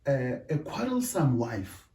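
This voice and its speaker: A man's voice: mid-range voice, very animated, warm tone. South African man, echoey distant sound. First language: Zulu. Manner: deliberate, often checks in with "right?". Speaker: podcast co-host. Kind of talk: casual discussion. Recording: echoey room, distant mic.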